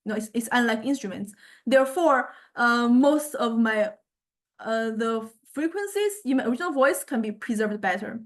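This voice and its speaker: A woman lecturer giving an informal software demonstration, explaining conversationally and often hesitating as she searches for words. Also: a little high, expressive, moderate pace. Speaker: university music technology lecturer.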